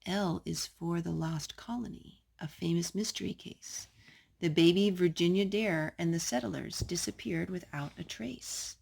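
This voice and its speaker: A woman with a slightly husky voice reading a children's picture book aloud as a storytime host. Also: on the low side, a little slow, a little mellow.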